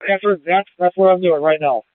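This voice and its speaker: A man's voice: sits high, a little fast, dry recording. A man working as an event command officer, giving operational instructions and updates over a two-way police radio. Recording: tinny narrowband police radio channel.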